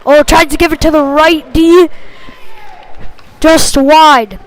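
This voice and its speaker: A woman's voice: sits high, steady tone, slow pace. A woman doing live play-by-play hockey commentary in short, clipped phrases that follow the action.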